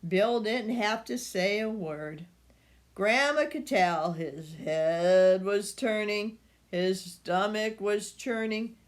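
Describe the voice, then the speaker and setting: Older woman reading aloud, lively and unhurried. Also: medium pitch. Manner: animated, addressing young listeners directly. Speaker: storyteller reading to children. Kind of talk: expressive picture-book read-aloud.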